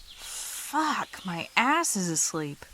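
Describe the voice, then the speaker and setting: A woman with an American accent playing a sharp, foul-mouthed city wife in her thirties in a naturalistic script reading. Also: medium pitch, sing-song, very slow, very noisy. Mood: casual, dry. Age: 30s. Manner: blunt, casual, teasing. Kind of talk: screenplay table-read acting, naturalistic dialogue.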